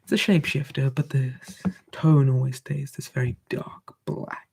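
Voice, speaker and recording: A storyteller telling folklore in a slow, hushed, playful whisper, ASMR style. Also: medium pitch, fairly even intonation, gravelly, breathy edge.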